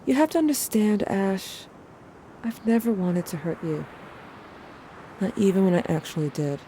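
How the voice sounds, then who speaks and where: A woman's voice: medium pitch, expressive, normal speed, dark tone, a little hiss or hum, close to an everyday voice. A young woman playing a wistful, self-doubting teenager, narrating memories in an intimate, wry audio drama monologue. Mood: regretful, confessional.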